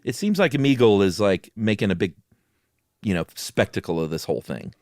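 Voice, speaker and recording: A man's voice: lowish voice, big pitch swings, moderate pace, darkish tone, no echo, studio quality. A man co-hosting a podcast, chatting casually between readings. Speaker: podcast co-host.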